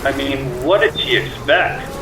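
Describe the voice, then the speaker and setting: A man playing a grumpy, harried ship's cook in a radio play, gruff and impatient. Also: mid-range voice, measured pace, echoey room, far from the mic, heavy background noise, natural voice. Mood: irritated.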